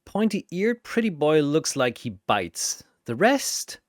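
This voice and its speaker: Narrator acting cocky female brawler, blunt, punchy, animated, brash action story heroine. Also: mid-range voice, takes it slowly, no room sound, clean studio sound. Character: brash tough brawler woman, cocky and gruff.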